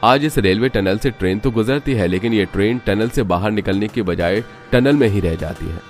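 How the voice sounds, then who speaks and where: A man's voice: lowish voice, moderate pace, faint music behind. A man narrating a scripted cartoon story.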